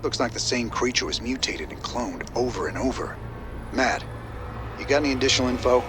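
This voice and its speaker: A man's voice: mid-range voice, brisk, noisy background, close to an everyday voice. An actor playing a world-weary male rebel commander in a sci-fi video game, with a terse, dry delivery. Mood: curious, intent.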